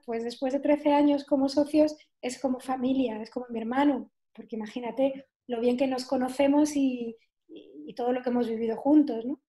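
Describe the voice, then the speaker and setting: A woman's voice: high voice, dark tone. A woman, a nutrition therapist telling her own story as an interview guest, chatty and full of fillers and tag questions. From southern Spain. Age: 40s.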